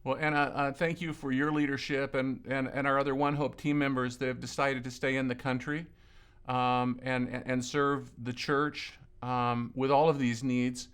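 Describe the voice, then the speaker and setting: A man from Lebanon interviewing a colleague in an earnest, conversational way, often pausing and repeating words. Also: medium pitch, little pitch movement, a bit bright, smooth. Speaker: ministry leader interviewing a colleague.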